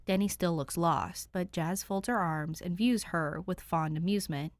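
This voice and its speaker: A woman with a fairly low voice narrating fan fiction in an even, storytelling way. She reads close to a home microphone, and the sound is dry and intimate. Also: moderate pace, no room sound. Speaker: podfic reader.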